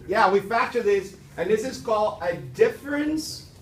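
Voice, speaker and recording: A playful male teacher works the room with quick questions, his voice high for a man. He is picked up from a distance in an echoey classroom with faint room noise. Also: expressive.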